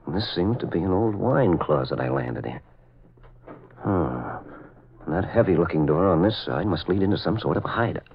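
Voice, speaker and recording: Confident masked crime-fighter, lively delivery, 1940s radio thriller, noisy old recording. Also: male voice, sits low, moderate pace, muffled recording. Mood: wry, tense.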